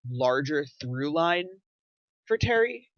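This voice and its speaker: A man giving casual, analytical script feedback in a halting way, repeating and reworking his phrases as he thinks aloud. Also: fairly high pitch, very animated, a bit bright, no room sound. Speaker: table read participant giving script feedback. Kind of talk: casual script critique discussion. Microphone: online voice call. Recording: muffled lo-fi voice chat audio.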